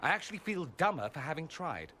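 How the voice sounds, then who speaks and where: Sharp, swooping, mocking wit. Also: male voice, on the high side, big pitch swings, quick pace. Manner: arch, teasing. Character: mocking, witty male mage companion. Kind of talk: cinematic game dialogue acting. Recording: faint background noise.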